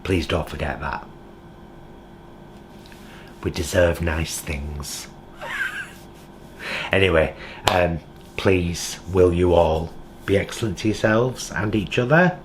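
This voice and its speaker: A man's voice: low voice, takes it slowly, pauses often, background noise. A British man talking in a heartfelt, reflective vlog monologue from his home kitchen. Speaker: vlogger.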